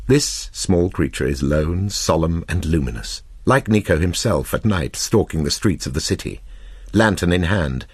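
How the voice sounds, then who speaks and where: A man narrating a literary short story in a measured, expressive storytelling manner with deliberate pauses. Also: low voice, slightly rough, dry recording, studio quality.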